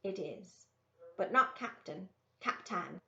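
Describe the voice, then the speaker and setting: A woman's voice: mid-range voice, lively intonation, slow, creaky, pushed and bright, lo-fi sound, full character voice. A woman voicing an ailing, proud old backwoods woman with terse, blunt replies in a storybook. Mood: weary, quiet.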